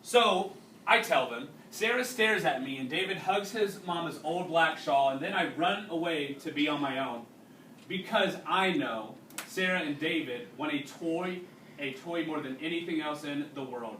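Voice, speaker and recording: A man's voice: a little high, bright, forceful tone. A man reading a story aloud as a teacher, in an explanatory way aimed at students he questions along the way. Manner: explanatory, pausing to question young listeners. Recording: roomy, distant mic with faint noise.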